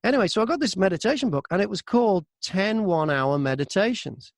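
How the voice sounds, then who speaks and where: A man's voice: sits high, animated, fast talker, no echo, studio quality. A man chatting casually as an interview guest, an audiobook narrator who tells stories by acting out both sides of exchanges. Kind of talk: casual anecdotal interview chat.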